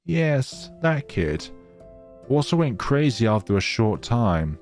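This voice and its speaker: A man's voice: mid-range voice, big pitch swings, slow pace, darkish tone, faint music behind. A man voicing a lonely young female snow spirit in a visual novel, wistful and hesitant, with a melancholy manner. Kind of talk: visual novel character reading.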